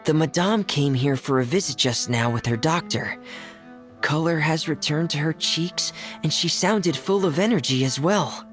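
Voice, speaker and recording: A gentle teenage boy from a video game, acted by a man, speaking softly and earnestly with some creak in the voice. Music plays lightly behind the measured lines. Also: a little high, lightly acted.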